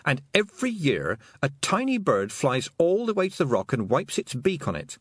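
Brief: man playing a determined little girl, high and animated, slightly husky. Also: moderate pace, dry recording, clean studio sound, full character voice. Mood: sly. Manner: indignant, determined. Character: young girl, stubborn and sharp-witted.

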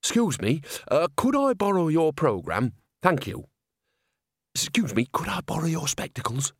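A man's voice: a little high, big pitch swings, normal speed, no room sound, clean studio sound, pitched above the performer's own voice. A man voicing a cheerful, gossipy old woman witch. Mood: chatty. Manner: gossipy, breezy.

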